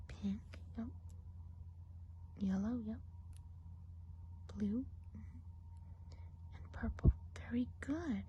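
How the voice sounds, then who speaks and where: A woman playing a friendly, gossipy witch of the woods in a hushed, chatty ASMR role play, in a soft breathy whisper. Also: mid-range voice, highs cut off, a little hiss or hum. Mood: gentle, attentive.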